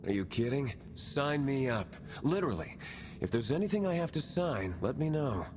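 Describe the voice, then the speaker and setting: An actor playing a frank, eager politician turned rebel in a video game. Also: male voice, medium pitch, big pitch swings, moderate pace, background noise, close to an everyday voice. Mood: keen.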